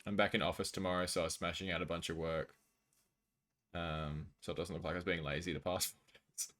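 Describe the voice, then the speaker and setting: A man's voice: low voice, level tone, fairly quick, fairly bright tone. An Australian man live streaming, chatting casually and jokily with viewers as he reads out their messages.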